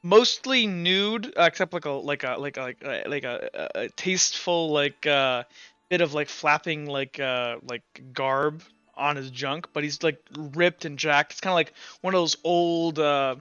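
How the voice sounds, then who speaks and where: Bright, clear-voiced man running a tabletop game. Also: on the high side, measured pace, no room sound, dull audio, faint music behind. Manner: loose, rambling descriptions full of "like". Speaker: game master.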